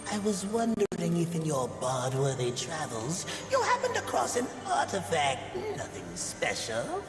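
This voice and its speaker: A man playing a wheedling, flattering demon merchant in a video game, with an oily, theatrical delivery and creaky voice. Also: fairly high pitch, unhurried. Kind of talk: dramatic video game character acting. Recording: long echoing reverb under music.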